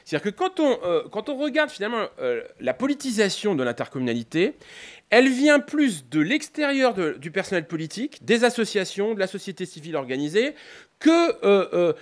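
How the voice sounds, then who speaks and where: Animated high-pitched Frenchman lecturing, halting and rephrasing. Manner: hesitant, repeatedly breaking off and rephrasing, punctuated with rhetorical tags; analytical, explanatory, didactic. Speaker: political scientist, university lecturer. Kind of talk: semi-improvised conference lecture.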